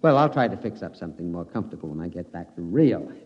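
An actor playing a meek, flustered husband who blusters and stammers, in the manner of a classic radio drama. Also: male voice, low voice, big pitch swings, fast. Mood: impatient. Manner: blustering, stammering under pressure. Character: meek, apologetic husband scheming for freedom, increasingly flustered. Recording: dull old radio recording, long reverb.

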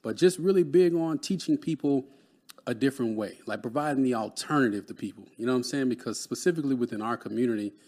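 A man's voice: medium pitch, fast, a little mellow. A man in his late thirties from Dallas, Texas, a guest speaking earnestly and motivationally about mentoring, often adding "man.". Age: 30s. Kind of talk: conversational interview.

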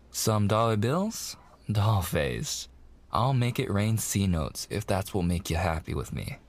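An actor playing a mafia boss's young son, an eager, sweet fiancé coaxing in slangy gangster talk in an intimate roleplay. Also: male voice, fairly low pitch. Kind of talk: boyfriend ASMR roleplay, intimate monologue.

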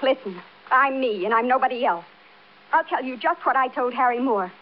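An actress playing a childlike, defiant housewife confessing in a naive, matter-of-fact way, in 1950s radio crime drama style. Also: female voice, high voice, moderate pace, close to an everyday voice. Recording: dull, noisy old radio broadcast.

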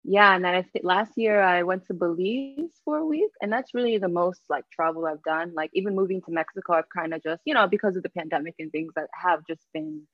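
A woman's voice: lowish voice, fairly quick, few pauses, no echo, dull audio. A woman from New York, born in Jamaica, talking casually as an interview guest and looping back over her phrases in an informal, rambling way. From Jamaica-born, raised in Yonkers and Mount Vernon, New York. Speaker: podcast guest, travel writer.

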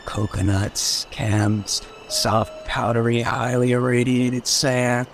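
An actor playing an irreverent, scheming rogue with a flippant, sardonic manner in a radio drama. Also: male voice, mid-range voice, completely flat delivery, takes it slowly, faint music behind. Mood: dreamy, scheming. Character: irreverent, scheming male rogue in detective mystery. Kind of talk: old-time radio drama acting.

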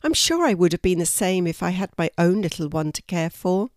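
A woman voicing a kind female bush animal in a children's storybook, soft and reassuring. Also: fairly low pitch, sing-song, brisk, dry recording, studio quality. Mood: gentle, kind.

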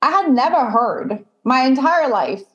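A chatty, opinionated woman whose first language is French, with a fairly high voice. She talks casually in an interview, full of fillers and light laughs. The recording sounds dull and lo-fi. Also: expressive, a little slow, fairly bright tone. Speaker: guest, dating content creator. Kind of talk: casual podcast conversation.

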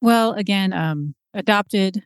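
A woman's voice: mid-range voice, takes it slowly, clear, resonant tone, dry recording, studio quality. A middle-aged woman from Seattle, a podcast guest, reflecting on her life in a chatty, storytelling way. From Seattle, USA. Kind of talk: conversational interview.

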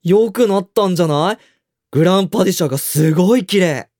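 An actor playing a reserved, understated teenage high school boy who used to dance ballet, in a radio drama with dialogue and narration. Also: fast talker, darkish tone, no room sound, studio quality, lightly acted. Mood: encouraging.

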